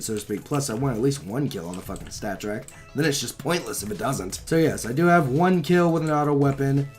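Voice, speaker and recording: A slightly hoarse young man rambling through casual gameplay commentary, swearing freely, his mic held close to his mouth. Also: on the high side. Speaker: gaming channel host.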